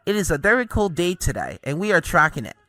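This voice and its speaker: A man's voice: fairly high pitch, sing-song, fast talker, bright, forceful tone, no room sound. A man playing a morning TV news anchor in an amateur drama, with a formal announcer-like delivery. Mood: upbeat, welcoming. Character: male morning TV news anchor breaking grave news.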